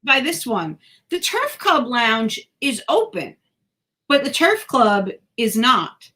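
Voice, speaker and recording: A woman's voice: a little high, big pitch swings, slow, sharp tone. A woman co-hosting a podcast in casual chat, talkative and self-deprecating, sometimes tripping over her words. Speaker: podcast co-host.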